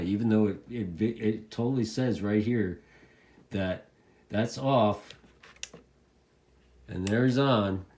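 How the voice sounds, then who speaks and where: A man's voice: lowish voice, darkish tone. A man giving a casual hobbyist product review to camera, rambling along and often repeating his phrases.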